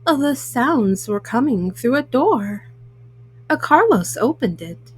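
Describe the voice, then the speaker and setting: Woman, higher-pitched voice, slow pace, children's read-aloud, halting phrasing, frequent hesitations. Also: very animated, husky. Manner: halting, word-by-word reading with frequent hesitant fillers. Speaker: storyteller, host of a children's read-aloud show. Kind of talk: reading a children's picture book aloud.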